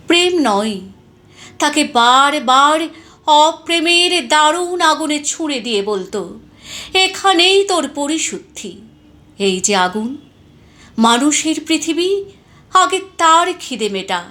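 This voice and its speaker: A woman reciting poetry in a deliberate, expressive, dramatic style with weighted pauses. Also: high voice.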